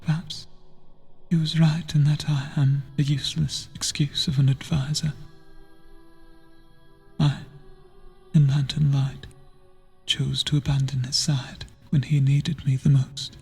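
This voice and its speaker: Guy voicing a gentle guilt-ridden lantern bearer npc, real slow n breathy, husky half whisper, solemn old-timey fantasy game talk. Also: on the high side, level tone, soft background music, exaggerated voice. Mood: haunted, remorseful. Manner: hesitant, measured, solemn. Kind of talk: soft-spoken video game NPC dialogue, theatrical archaic phrasing.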